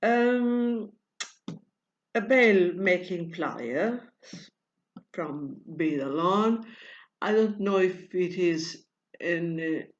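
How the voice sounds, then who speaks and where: Portuguese woman, non-native speaker, very slow and halting tutorial host, muffled home recording. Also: on the low side, animated. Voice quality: many pauses and fillers, searching for words. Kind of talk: hesitant tutorial explanation in a second language.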